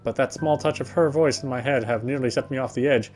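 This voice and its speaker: A man's voice: mid-range voice, fast talker, darkish tone. A man playing a visual novel and reading its narration aloud as he goes. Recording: game music behind the voice.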